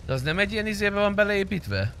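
A man's voice: high voice, sing-song, pushed and bright, a little hiss or hum. A young man chatting casually with other players while streaming a roleplay game. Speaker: streamer playing GTA roleplay. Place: indoor streaming room.

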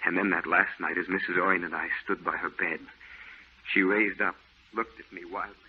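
An actor in an old-time radio drama playing a haunted, guilt-ridden painter, earnest and confessional. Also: male voice, deep voice, steady tone, fairly quick, husky. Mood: regretful. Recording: muffled, narrow old radio recording.

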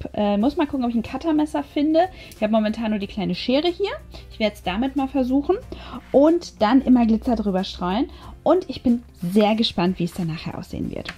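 A woman's voice: mid-range voice, mellow. A woman hosting a craft video, casually narrating each step and thinking aloud as she goes. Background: background music.

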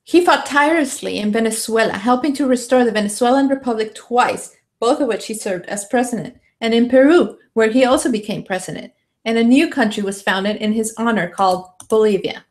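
Higher-voiced woman reading an article. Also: brisk. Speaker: reader of an article. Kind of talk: scripted narration, reading an article aloud. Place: indoor room. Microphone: studio microphone with pop filter.

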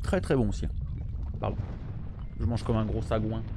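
A man's voice: on the low side, normal speed, gravelly. A man, chatting casually with viewers while streaming a retro game from home, sometimes mumbling while eating. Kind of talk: casual gameplay chat with viewers. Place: home streaming room, indoors. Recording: game sound noise behind voice. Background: game gunfire and sound effects.

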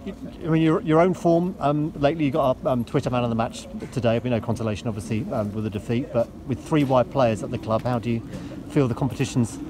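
A man's voice: medium pitch, fast talker, hardly any pauses, background noise. A man with an English accent, a club media interviewer asking rambling, hesitant post-match questions.

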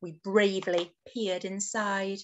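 A woman's voice: medium pitch, little pitch movement, slow pace, a little hiss or hum, lightly acted. A woman voicing a plucky, cheerful octopus who tells a rhyming storybook tale in a sing-song way. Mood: cheerful storytelling.

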